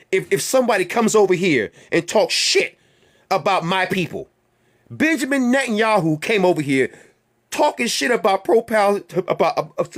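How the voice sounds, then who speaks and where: A man hosting his own channel, delivering a heated, profane rant to viewers with a string of rhetorical questions and repeated phrases. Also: high voice. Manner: heated and emphatic. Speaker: channel host. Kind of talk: ranting monologue to viewers.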